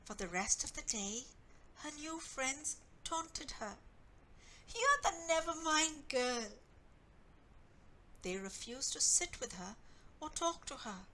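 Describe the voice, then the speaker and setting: A woman author reading her own story expressively, with deliberate, dramatic phrasing. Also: sits high, animated, pushed and bright, slight background noise, rough recording.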